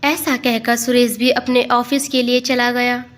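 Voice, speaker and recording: A woman narrates a scripted family tale. Her voice sits a little higher than average. She delivers it as a storyteller. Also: little pitch movement, measured pace, fairly bright tone.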